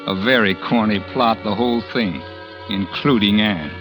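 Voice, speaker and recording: A man's voice: fairly low pitch, unhurried, lightly acted. A man playing a bitter, self-absorbed novelist who narrates and talks in an old radio drama, with a brooding tone. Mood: cynical. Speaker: struggling novelist narrating his story. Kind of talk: 1940s radio drama acting. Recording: old radio broadcast with music bed.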